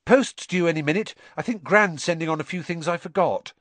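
A man's voice: mid-range voice, animated, moderate pace, dry recording, voice pushed up, close to an everyday voice. A man voicing a timid, nervous schoolboy in a storybook reading. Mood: cheerful. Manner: timid, anxious. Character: timid round-faced schoolboy, nervous and gulping.

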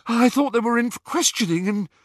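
A man's voice: extremely high pitch, lively intonation, fairly quick, dry recording, clean studio sound, pitched above the performer's own voice. A man playing a jittery balding wizard official. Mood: cowering. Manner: nervous, stammering, defensive. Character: nervous balding male ministry wizard.

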